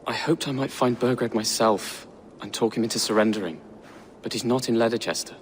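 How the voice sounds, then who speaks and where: A young actor playing an earnest, anxious young Saxon prince who speaks in a hurried, unsure way, in a video game cutscene. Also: male voice, mid-range voice, a little hiss or hum, lightly acted. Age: young adult.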